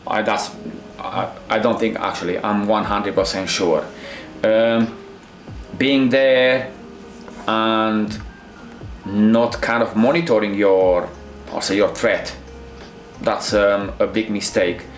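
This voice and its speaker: A middle-aged man from Romania with a Romanian accent, a Krav Maga instructor reacting to fight scenes in halting, restarting English. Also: on the low side, steady tone, roomy sound, faint music behind. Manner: halting non-native phrasing with many restarts.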